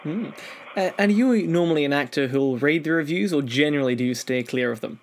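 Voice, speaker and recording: A man's voice: fairly high pitch, brisk. A man hosting an interview, asking prepared questions in a conversational way. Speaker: interviewer, show host.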